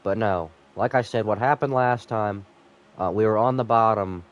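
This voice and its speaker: A man's voice: fairly low pitch, normal speed, dull audio. A man sick with a cold, slightly nasal, rambling casually to viewers as he commentates a live game stream.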